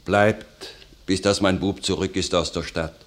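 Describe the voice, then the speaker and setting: A humble charcoal burner, plain-spoken and cautious, offering shelter and warnings. His voice is on the low side, in the measured style of a classic radio play. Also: steady tone. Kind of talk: classic stage-verse radio drama.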